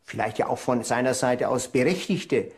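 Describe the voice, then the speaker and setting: A man's voice: medium pitch, normal speed. A man speaking as a documentary interview expert, carefully qualifying what he says.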